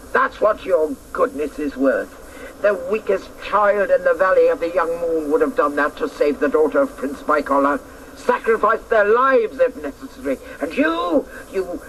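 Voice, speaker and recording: Creaky, vengeful old woman. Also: medium pitch, a bit bright, slight background noise, full character voice, rough recording. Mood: scornful, contemptuous. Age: older. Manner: taunting, imperious, drawn-out theatrical delivery. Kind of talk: radio drama acting.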